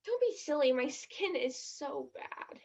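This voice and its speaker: Woman playing a high-pitched, blunt teenage girl. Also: very animated, measured pace, darkish tone, dull audio. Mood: embarrassed, skeptical. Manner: blunt, indignant, skeptical. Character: blunt, skeptical, dramatic teenage girl from New Jersey. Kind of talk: stage play acting.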